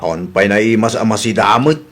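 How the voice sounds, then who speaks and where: A man's voice: lowish voice, a little fast, fairly bright tone, soft background music, close to an everyday voice. A man voicing an eager, pushy grown son in a radio comedy drama, coaxing and insistent.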